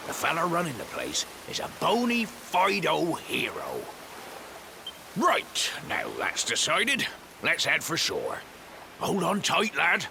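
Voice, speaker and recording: Gravelly old fisherman, very wide swings of pitch, hearty, excitable, folksy, kindly, video game character. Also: takes it slowly, noisy background, exaggerated voice. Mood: gruff kindness. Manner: folksy seafarer talk, refers to himself by name. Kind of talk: video game cutscene voice acting. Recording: game music under the voice.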